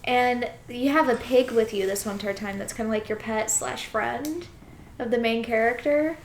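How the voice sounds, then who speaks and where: Brief: female podcast co-host, fairly high voice, casual storyteller, giggly, roomy recording. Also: moderate pace. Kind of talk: casual chat, telling anecdotes. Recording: roomy echo with faint noise.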